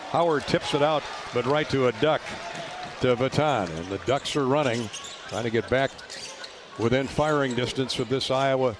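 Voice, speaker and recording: A man doing live radio play-by-play of a basketball game in a folksy, colorful way. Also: medium pitch. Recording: loud arena noise behind courtside radio call. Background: ball bounces and gym ambience.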